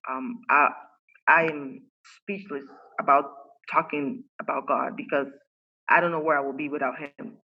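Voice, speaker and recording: A woman's voice: sits low, steady tone, a little slow, breathy edge, lo-fi sound. An earnest woman whose first language is Spanish, a life coach talking about her faith in an interview, with many fillers and restarts. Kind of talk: faith-based interview conversation. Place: home.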